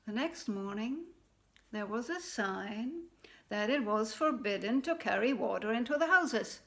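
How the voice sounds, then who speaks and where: A woman storyteller relating a fairy tale at a leisurely, very slow pace. Her voice sits a little high, and her telling is gentle, with thoughtful pauses. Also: animated. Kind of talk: oral folk tale storytelling.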